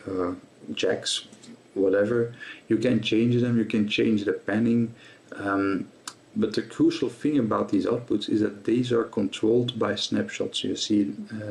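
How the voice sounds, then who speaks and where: A man presenting a guitar gear tutorial in a casual, explanatory way, with halting delivery and frequently repeated words. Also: on the low side, vocal fry, a little mellow.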